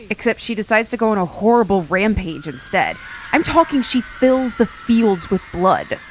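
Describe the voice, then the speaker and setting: A woman's voice: mid-range voice, slight background noise, close to an everyday voice, rough recording. A woman playing a blunt, wary young friend with a wry, skeptical way of talking in an audio drama. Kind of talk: acted audio drama dialogue.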